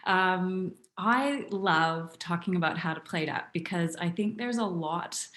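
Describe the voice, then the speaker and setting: A woman's voice: fairly low pitch, a little slow, a bit bright. A woman in her thirties from Canada, presenting on nutrition in a chatty, casual way over a video call from home, keeping her voice down, with lots of fillers. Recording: echoey room on a video call.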